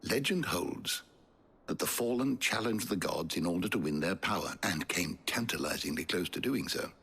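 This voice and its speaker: An actor playing a learned old scholar in a video game, speaking in a formal, deliberate, erudite manner. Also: male voice, sits low, lively intonation, fairly bright tone, slightly rough, exaggerated voice. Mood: pondering. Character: learned old male scholar, measured and fond of lore. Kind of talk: dramatic video game acting, expository.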